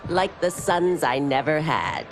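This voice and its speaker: A woman's voice: sits low, big pitch swings, unhurried, gravelly, exaggerated voice, low-quality recording. An actress playing a motherly innkeeper and martial arts teacher, stern but fond, scolding with affection, in a video game cutscene. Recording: game soundtrack music behind.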